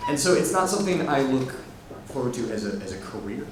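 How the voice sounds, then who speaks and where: A man's voice: medium pitch, sing-song, fast talker. A man, an actor and tour guide, answering hesitantly with many fillers at a theater Q&A. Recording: echoey theater from far off, noisy.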